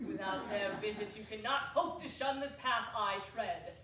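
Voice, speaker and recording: A woman playing the mournful chained ghost of a dead business partner in a dramatic stage reading, slow and lamenting. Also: medium pitch, background noise, natural voice. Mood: warning. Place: small theatre stage. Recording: echoey hall from far off.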